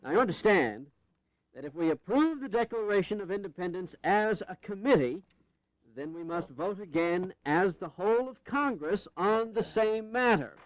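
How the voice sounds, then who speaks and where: An officious colonial chairman in a historical audio drama. He has a high voice and a declamatory, procedural delivery, captured in slightly muffled lo-fi sound. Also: expressive, normal speed, no room sound. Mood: official. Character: formal, flustered presiding officer of colonial congress.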